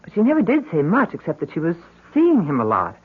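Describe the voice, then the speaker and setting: Through a dull, lo-fi radio recording comes a middle-aged mother in a radio drama. Her high voice is slightly husky and swings expressively as she fusses, chats and worries lovingly over her grown daughter. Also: wildly swooping pitch, fast, heavily acted. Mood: worried. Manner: chatty, solicitous. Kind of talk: old-time radio drama acting.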